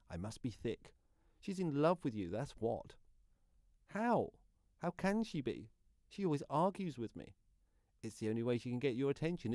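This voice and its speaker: Man acting an earnest, animated, mellow-voiced storyteller. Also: medium pitch, no room sound, clean studio sound. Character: earnest deaf man recounting his love life. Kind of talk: radio play monologue.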